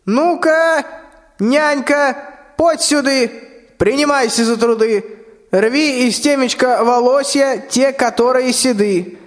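Old tsar played by a man: high-pitched, imperious, folksy verse, long hall reverb. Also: takes it slowly. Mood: bossy. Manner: commanding, folksy rhymed speech. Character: vain, bossy, balding very old tsar. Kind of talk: stage-like rhymed radio play.

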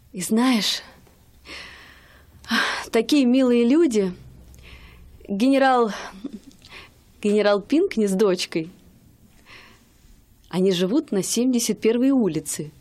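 An actress playing a gushing young wife in a radio play. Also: female voice, a little high. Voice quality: gushing, affectionate. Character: young wife, a music student, eager and doting.

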